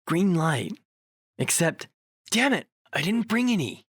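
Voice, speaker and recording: A man's voice: sits high, very animated, unhurried, a bit bright, breathy edge, no room sound, studio quality, full character voice. An actor playing a bossy, wisecracking ghost hunter in an audio drama, flippant and emphatic in his delivery. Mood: sardonic, impatient.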